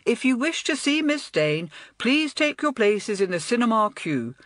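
A woman reading aloud and voicing a brisk, officious doorman calling out in a raised voice. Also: on the high side, big pitch swings, fairly bright tone, no room sound, clean studio sound, voice pushed up.

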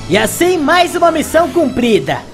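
High-pitched Brazilian game narrator, a woman. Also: sing-song, normal speed. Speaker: video game reviewer and narrator. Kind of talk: gameplay commentary narration. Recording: music behind the voice. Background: music.

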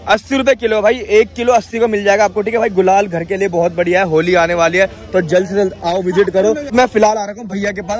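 A young man filming a casual vlog on his phone as he walks a busy outdoor street market, chatting with sellers and repeating each price to the camera. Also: sits high. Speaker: vlogger. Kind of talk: handheld market walkthrough vlog. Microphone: phone held at arm's length.

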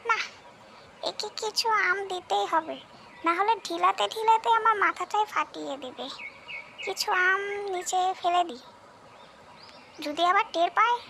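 A woman's voice: very high voice, a little slow, creaky, pauses often, sharp tone, full character voice. A woman voicing a female tree ghost in a cartoon fairy tale, with colloquial, exclamatory speech. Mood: exasperated grumbling. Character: female tree ghost, regretful, easily exasperated, childlike high voice. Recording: noisy, birdsong ambience behind.

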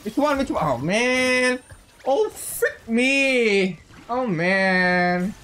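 A game streamer giving casual, excitable live commentary, often repeating short phrases. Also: mid-range voice, extremely slow, a little hiss or hum. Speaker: livestreamer playing a game.

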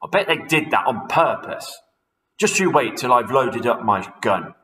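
A man doing a nasty, gruff old man. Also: on the high side, lively intonation, measured pace, sharp tone, reverberant, pitched above the performer's own voice. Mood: indignant.

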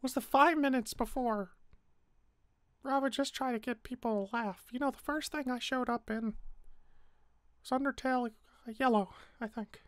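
A woman's voice: a little high, no echo, lightly acted. A woman playing a bitter, despairing little flower creature in a roleplay drama, with a wounded, hesitant, weary way of speaking.

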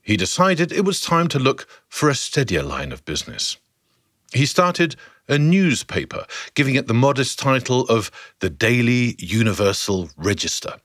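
A man narrating a scripted story for a podcast in a theatrical, measured way with dramatic pauses. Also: medium pitch, sing-song, fairly bright tone, dry recording, studio quality.

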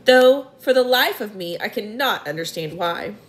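A woman's voice: medium pitch, lively intonation, normal speed, close to an everyday voice. A woman voicing a kindly female ladybird in a storybook reading.

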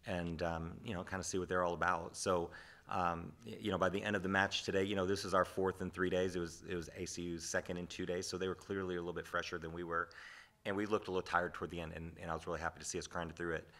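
Low, bright-toned man coaching at a presser. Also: little pitch movement. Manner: measured, reflective, frequent restarts. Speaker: head volleyball coach. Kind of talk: postgame press conference answers. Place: press room with sponsor backdrop. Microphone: desk microphone.

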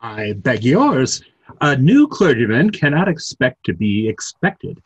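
A man's voice: medium pitch, huge pitch swings, slow, breathy edge, full character voice. A man playing an earnest, restrained clergyman with formal, clipped politeness in a play reading. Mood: reserved, polite. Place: room at home, on a video call.

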